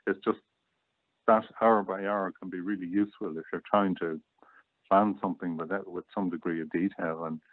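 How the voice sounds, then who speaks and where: Low voiced guy explaining stuff casually, muffled lo-fi connection, no echo. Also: fairly even intonation, moderate pace. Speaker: guest app reviewer. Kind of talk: casual chat.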